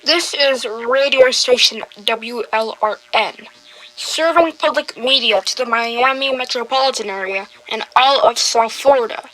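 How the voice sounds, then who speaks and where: Woman doing a radio news lady, bright highish voice, formal scripted read. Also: poor audio. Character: formal female public radio station announcer. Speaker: radio announcer.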